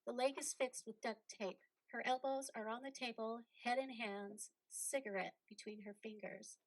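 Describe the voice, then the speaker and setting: A woman's voice: fairly high pitch, fairly even intonation, normal speed, no echo, poor audio. A woman reading stage directions as the narrator of a scripted play.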